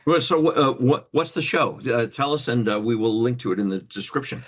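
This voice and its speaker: A man hosting a casual interview, speaking in a halting, hesitant way. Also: medium pitch. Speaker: interview host.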